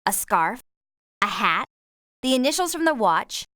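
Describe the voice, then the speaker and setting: A woman's voice: on the high side, very animated, unhurried, pushed and bright, dry recording, studio quality, exaggerated voice. An actress playing a friendly young woman who gives supportive instructions in a horse-riding video game. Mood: explanatory, focused. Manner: clear instructional delivery, supportive.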